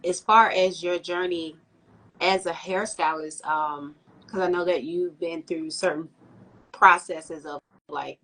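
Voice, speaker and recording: A woman hosting a livestreamed podcast, greeting viewers warmly and asking long, rambling questions. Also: on the low side, steady tone, takes it slowly, rough recording. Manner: upbeat, welcoming, rambling questions. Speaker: podcast host.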